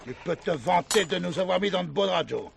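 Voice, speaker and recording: An actor playing a gruff, quick-tempered man who runs a western ranch, in a French radio play. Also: high-pitched, lively intonation, slight background noise, full character voice. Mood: angry.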